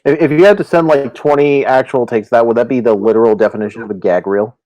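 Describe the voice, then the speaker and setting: A man's voice: mid-range voice, fast, warm tone, dull audio. A man chatting casually on a group voice call, with a wry, joking way of talking. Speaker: cast member. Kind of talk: casual chat.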